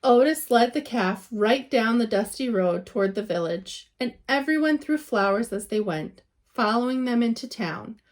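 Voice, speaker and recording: Lady reading a kids picture book out loud, highish voice, teacher style, really leans on the dramatic words. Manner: animated storytelling with dramatic emphasis and drawn-out words. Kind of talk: expressive children's storybook read-aloud.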